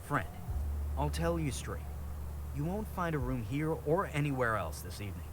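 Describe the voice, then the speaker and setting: A man's voice: on the high side, lively intonation, normal speed, close to an everyday voice. An actor voicing a chatty, eccentric man in a video game, theatrical and effusive, over background noise. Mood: emphatic. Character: chatty, eccentric male lodger outside a closed inn.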